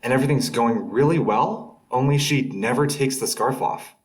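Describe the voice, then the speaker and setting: A man's voice: mid-range voice, normal speed, close to an everyday voice. A young man acting as a defensive guy casually telling a story to friends in an audio drama. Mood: casual storytelling. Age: young adult. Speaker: student audio drama actor. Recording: slightly distant from the mic.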